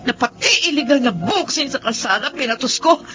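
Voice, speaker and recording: An actress playing a tough, bitter young street boxer and wronged daughter in a Tagalog radio drama. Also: female voice, high voice, expressive, brisk. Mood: resentful. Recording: muffled lo-fi audio under loud music.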